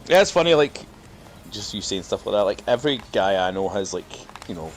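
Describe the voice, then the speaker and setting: A man's voice: on the low side, big pitch swings, a little fast, a little hiss or hum. A man chatting casually and jokingly as a podcast co-host, with swearing and frequent laughter. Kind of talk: casual banter while gaming.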